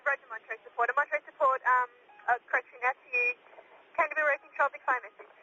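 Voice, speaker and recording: Female fire dispatcher, Australian accent, high voice, procedural delivery, lo-fi radio channel with slight noise. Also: big pitch swings, fast talker, pushed and bright, faint music behind. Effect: two-way radio. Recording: muffled radio transmission with faint hiss.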